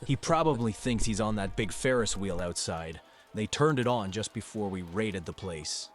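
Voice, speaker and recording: A man playing a loyal, gruff henchman in charge of guards in an audio drama, obedient and combative. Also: lowish voice, lively intonation, normal speed, faint music behind, natural voice. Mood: obedient, scornful.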